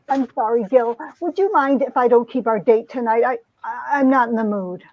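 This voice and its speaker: A woman's voice: fairly high pitch, no echo, natural voice. A woman playing a wounded, proud young heroine, narrating and acting out a romance comic with earnest melodrama. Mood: hurt. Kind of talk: dramatic reading of a 1950s romance comic, narration and dialogue. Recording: dull, lo-fi sound.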